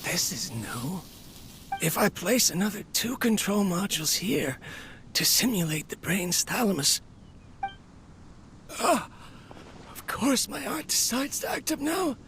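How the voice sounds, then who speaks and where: A man's voice: high-pitched, very animated, a little slow, vocal fry, long pauses, a bit bright, a little hiss or hum, full character voice. An actor playing a tired, lonely male researcher in his middle years, speaking heavily to his machine in game cutscene tape logs. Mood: desperate. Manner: weary, heavy, self-reproaching. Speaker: scientist recording tape logs. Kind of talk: video game voice acting.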